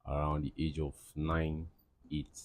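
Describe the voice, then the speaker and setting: Young male interviewee, very deep voice, loose rambling answers, dry close recording. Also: flat delivery, a little slow, no echo. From Cameroon. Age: young adult. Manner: rambling, many fillers and restarts. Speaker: interviewee, aspiring footballer.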